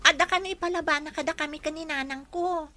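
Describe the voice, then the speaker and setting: A woman's voice: high-pitched, steady tone, fast talker, music in the background, close to an everyday voice. A woman playing a dutiful, helpful schoolgirl from a poor family in an audio drama, with an Ilocano accent. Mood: plaintive. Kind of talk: Ilocano radio-style drama acting.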